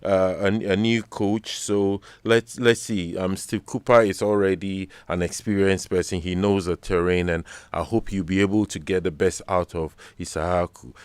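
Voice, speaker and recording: A Ghanaian man with a Ghanaian English accent, giving measured and earnest analysis as a sports radio pundit and often repeating words while he thinks. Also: deep voice, steady tone, clean tone, no room sound. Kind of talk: studio sports discussion. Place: radio studio.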